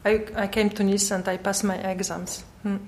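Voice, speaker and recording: A woman in her forties from Poland, with a Polish accent, answering interview questions in a hesitant, halting way and searching for English words. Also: mid-range voice, little pitch movement, a little mellow. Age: 40s. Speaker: artist guest.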